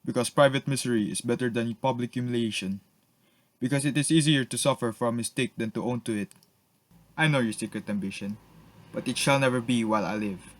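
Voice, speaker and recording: A cold, haughty lord, played by a man, with a medium-pitched voice in a dry recording. He is scornful, suspicious and sarcastic, scolding in an amateur radio-play style. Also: no room sound, lightly acted. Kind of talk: amateur radio drama acting.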